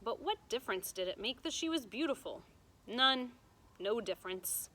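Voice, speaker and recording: A woman's voice: on the high side, expressive, normal speed, sharp tone. A woman teacher reading a story aloud to students, explaining it simply and checking in with them as she goes.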